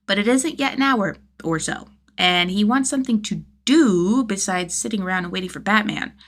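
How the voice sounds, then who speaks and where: Low-pitched, very animated woman narrating. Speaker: podfic narrator. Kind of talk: expressive scripted story narration.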